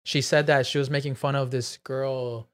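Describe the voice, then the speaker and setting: A man hosting a podcast in casual, sweary, excitable storytelling style with bits of Spanish slang. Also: medium pitch, normal speed. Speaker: podcast host. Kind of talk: casual, profane podcast banter.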